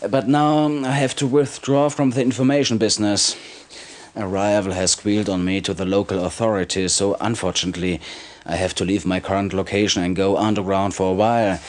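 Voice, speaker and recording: A sly underground informant from a video game, acted by a man. His voice sits on the low side. He speaks with smooth insinuation, like a conspirator dealing in military secrets. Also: lightly acted. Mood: wry, resigned. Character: sly male underground informant, smooth-talking and self-serving. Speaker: informant character in a game cutscene.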